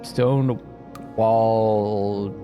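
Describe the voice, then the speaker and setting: A man giving let's-play commentary, reading game text aloud dramatically and thinking out loud as he plays. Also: lowish voice, steady tone, extremely slow, dull audio. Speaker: video game streamer playing a narrative game. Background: music behind the voice.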